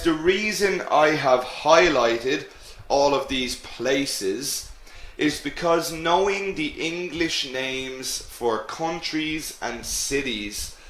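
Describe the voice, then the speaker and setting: A man teaching an English grammar lesson to students in a slow, clear way. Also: medium pitch, a bit bright, roomy sound, slight background noise, rough recording.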